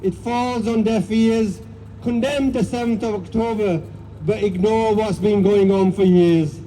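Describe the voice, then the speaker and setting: A man's voice: sits high, steady tone, measured pace, dark tone, slight background noise, rough recording. A man at an outdoor street rally who reads out a heartfelt poem after a few words to the crowd. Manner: plain, heartfelt recitation with fillers. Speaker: rally speaker reading a poem. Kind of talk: reading a poem at a street protest.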